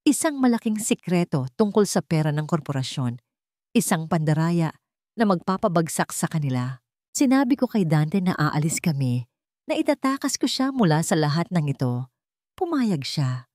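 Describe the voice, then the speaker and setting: A woman's voice: low-pitched, big pitch swings, moderate pace, a little mellow, dry recording, clean studio sound. A woman voicing a lovelorn young teacher reading out her diary, earnest and wistful, in a dramatic audio story. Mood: secretive, hopeful.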